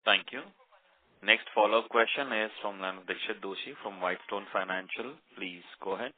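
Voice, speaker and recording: A man's voice: fairly low pitch, moderate pace, background noise. A man formally moderating a conference call. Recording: distant, narrow phone conference line.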